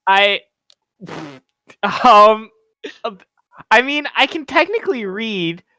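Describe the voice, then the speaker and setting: A man's voice: sits high, expressive, slow pace, bright, forceful tone, clean tone, no room sound, poor audio. A young man playing a tabletop game over voice chat, joking playfully and laughing a lot. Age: young adult. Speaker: player. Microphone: home microphone on voice call.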